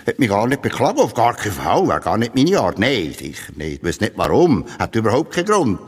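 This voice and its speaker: A man with a Swiss German accent playing a grandiloquent literary speechmaker who declaims a mock-solemn eulogy with drawn-out emphasis. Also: fairly low pitch, huge pitch swings, slightly rough, heavily acted. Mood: nostalgic, insistent. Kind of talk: theatrical satirical eulogy. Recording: long reverberant hall.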